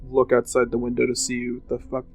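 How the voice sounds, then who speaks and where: A man's voice: medium pitch, monotone, brisk, gravelly, soft background music. A man talking casually as a player in a tabletop game.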